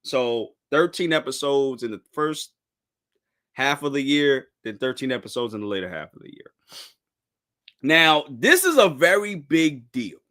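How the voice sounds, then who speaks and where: A man in his thirties talks loosely about anime as a show host, wandering off and restarting his thoughts. His pitch jumps around a lot and his tone is bright and forward, with a relaxed, chatty way of talking. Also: measured pace. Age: 30s. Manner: rambling, restarts, says "bro". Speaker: podcast host. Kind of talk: casual anime discussion.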